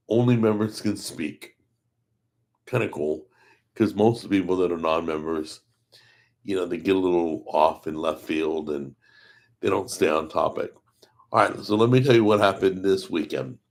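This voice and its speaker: A middle-aged man with a hoarse voice, chatting casually with viewers as host of a live cooking stream. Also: low voice. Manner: rambling, chatty, greets viewers by name. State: hoarse from a paralyzed vocal cord. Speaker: host of a cooking channel livestream.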